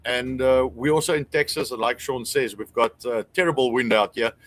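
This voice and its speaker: A man's voice: mid-range voice, normal speed. A man with a South African accent, chatting casually as a guest in an easygoing, good-humored way. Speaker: guest, small business owner. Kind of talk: casual chat.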